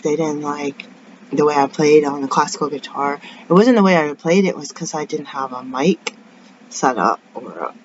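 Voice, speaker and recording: A woman with a raspy, deep voice venting casually in a rambling, tangent-prone vlog. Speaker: vlogger. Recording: dull lo-fi sound with faint noise.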